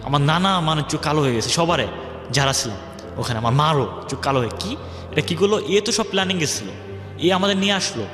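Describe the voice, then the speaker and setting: A man's voice: on the high side, lively intonation, fast talker. A young man telling his life story on a radio show, rambling and acting out what others said. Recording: echoey hall-like reverb, music underneath. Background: background music.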